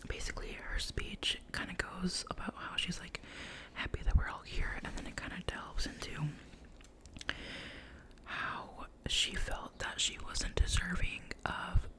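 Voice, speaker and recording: A young woman tells a story in a soft, breathy whisper with a low pitch. She rambles, corrects herself often and leans on "like" and "you know" like an ASMR storyteller talking to her viewers. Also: flat delivery, a little slow, low-quality recording. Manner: rambling, full of "like" and self-corrections. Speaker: vlogger.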